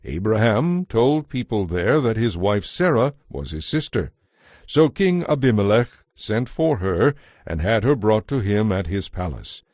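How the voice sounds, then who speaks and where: A man narrating a dramatized audio Bible as scripted, expressive, measured storytelling. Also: lowish voice, dry recording, clean studio sound. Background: faint music.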